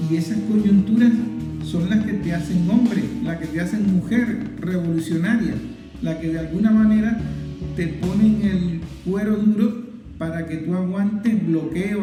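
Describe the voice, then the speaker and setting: A man delivering a political commentary monologue in an emphatic way, piling up repeated phrases in lists. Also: sits high. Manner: emphatic, repetitive, building lists. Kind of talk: impassioned political monologue to viewers. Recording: roomy echo, guitar music behind.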